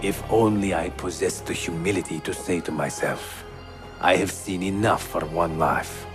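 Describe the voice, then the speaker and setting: A man voices a wise old leader of an assassin order in a video game cutscene. His low voice is grave, weary and reflective, with prominent music underneath. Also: moderate pace, natural voice. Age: older. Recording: music behind the voice.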